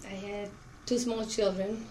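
A woman's voice: medium pitch, level tone, unhurried, mellow, rough recording. Middle aged lady w/ spanish answering interview questions, bit of background noise. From Cuba. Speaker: interviewee, auto plant worker.

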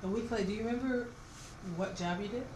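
A woman's voice: a little high, fast. A woman conducting a recorded oral history interview as the interviewer, asking questions. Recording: echoey room, clear background noise.

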